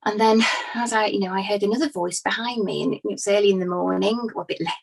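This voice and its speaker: A woman playing an earnest, grieving follower giving hesitant, conversational testimony with conviction in a courtroom drama, her voice slightly husky. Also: medium pitch, quick pace, darkish tone. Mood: tearful, recollecting. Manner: hesitant, conversational, emphatic about her certainty. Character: Mary, earnest grieving female follower of Jesus testifying as witness.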